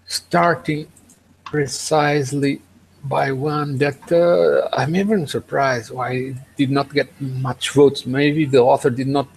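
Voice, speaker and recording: A man co-hosting a casual tech podcast over an online call, speaking in a hesitant, halting way. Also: medium pitch, fairly even intonation, vocal fry, a little hiss or hum, rough recording. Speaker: podcast co-host. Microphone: online video hangout.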